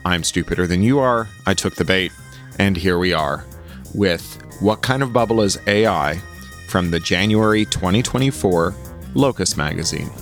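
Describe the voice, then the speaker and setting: A man's voice: deep voice, animated, moderate pace, soft background music. A man hosting a solo podcast, speaking articulately and wryly as he shares updates and reads his own writing aloud. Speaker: podcast host and author.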